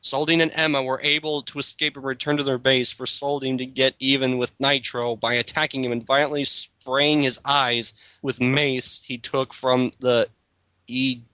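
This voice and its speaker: A man's voice: medium pitch, flat delivery, normal speed, bright, forceful tone, dry recording, rough recording. A man reading a story aloud as a mock dramatic reading, breaking into casual banter and stumbling over long words. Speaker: reader in a group dramatic reading.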